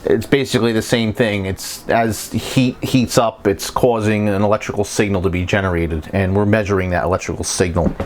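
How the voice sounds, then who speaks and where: Low-pitched man explaining repairs. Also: steady tone, brisk, hardly any pauses, slight background noise. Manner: conversational, explanatory, plain-spoken. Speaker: electronics repair technician hosting a tutorial. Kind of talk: casual hands-on repair tutorial narration.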